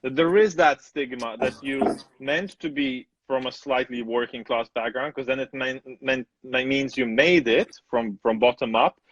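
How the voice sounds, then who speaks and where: A man hosting a casual video chat speaks bluntly and swears freely. His voice sits at a middle pitch. The sound is dry and a little muffled. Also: measured pace, few pauses, a bit bright, no room sound. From former Soviet Union. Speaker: host cinematographer. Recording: dry, slightly dull sound.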